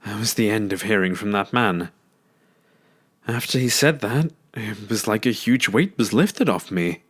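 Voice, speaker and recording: A man narrating a scary story aloud in an expressive, storytelling way. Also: fairly low pitch, a little slow, husky.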